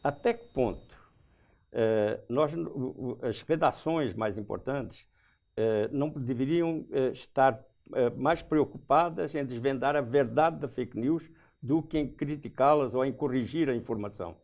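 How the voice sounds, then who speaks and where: Brazilian male moderator, average pitch, halting, deliberate questioning in a panel debate. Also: vocal fry, darkish tone. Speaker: debate host.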